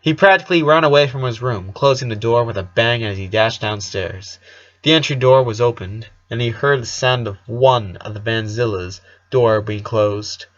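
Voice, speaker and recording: A man's voice: mid-range voice, moderate pace. A man narrating a story aloud as a reader who now and then stumbles on a word, recorded slightly dull. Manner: occasional stumbles over words while reading. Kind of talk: fan fiction read aloud.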